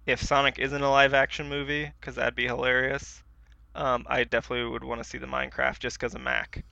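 A man's voice: mid-range voice, little pitch movement, brisk, vocal fry, pushed and bright, no echo. A man co-hosting a podcast, chatting casually and colloquially about video games in an easygoing way. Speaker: podcast co-host.

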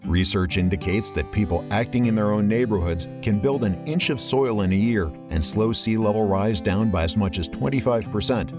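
A man reading a scripted public-service announcement in an even, steady manner. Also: lowish voice, moderate pace, hardly any pauses. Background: music bed.

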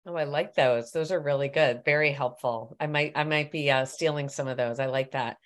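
A woman's voice: sits low, brisk. A woman in her fifties hosting a casual interview and asking encouraging questions. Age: 50s. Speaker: interview host.

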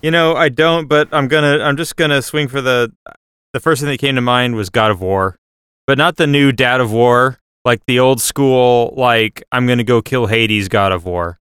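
A man's voice: mid-range voice, moderate pace, a bit bright, clean tone, dry recording, clean studio sound. A man chatting casually as a podcast panelist, wry and laconic. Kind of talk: casual chat.

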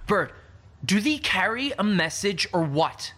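A man's voice: high-pitched, very animated, measured pace, bright, forceful tone, full character voice. A man playing an anxious, impulsive young man in an audio drama, loyal and stammering when upset. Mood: impatient, coaxing. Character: anxious, guilt-ridden young man, loyal to his friend.

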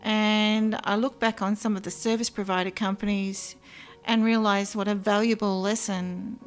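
A woman, a guest in an interview, telling of her past work in an earnest, measured way with frequent ums. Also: mid-range voice, little pitch movement, faint music behind. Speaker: former psychic line worker, guest. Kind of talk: interview, personal recollection.

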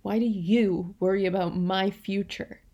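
A woman's voice: mid-range voice, fairly even intonation, unhurried, dark tone. A woman acting in a radio play as a defensive, stubborn woman, skeptical and clipped in manner. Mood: scornful. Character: defensive, stubborn woman of Turkish descent in denial.

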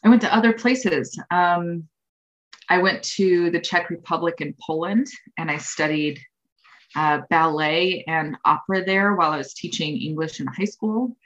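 A woman in her thirties talks as an interview guest, with a low voice. She rambles through her answers with frequent ums and false starts. The audio is dull and lo-fi. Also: moderate pace, fairly bright tone. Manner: rambling, with many ums and restarts. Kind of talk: casual interview conversation.

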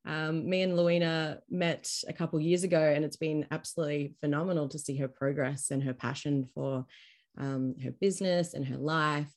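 A woman hosts a podcast interview and often breaks in with brief "yeah" and "mm" replies. Her voice sits a little low for a woman. She speaks casually. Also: fairly even intonation, normal speed, a little mellow. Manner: frequent short encouraging backchannels. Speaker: podcast host.

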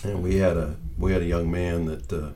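An American man of about seventy, casually reminiscing in a halting, meandering way as he searches for details. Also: low-pitched, little pitch movement, warm tone, slight background noise. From northeastern Ohio, United States. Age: around 70.

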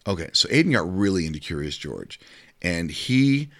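A middle-aged man with a deep voice co-hosting a podcast, chatting casually and rambling a little, repeating words as he tells his stories. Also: sing-song, normal speed, fairly bright tone. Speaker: podcast co-host. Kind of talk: casual chat.

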